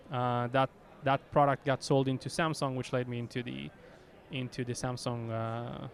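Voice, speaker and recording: A man with an Israeli accent, an executive answering interview questions with many fillers and restarts. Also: medium pitch. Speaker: company executive guest. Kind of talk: conference interview.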